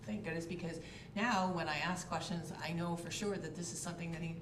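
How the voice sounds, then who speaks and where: A hoarse woman councillor with a cold talks in a low-set voice, stumbling and restarting in a flustered way. She sits a little back from the mic in an echoey council chamber, with steady noise in the background. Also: recorded from a distance. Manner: halting, repeats herself, flustered. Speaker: town councillor. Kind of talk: council meeting debate.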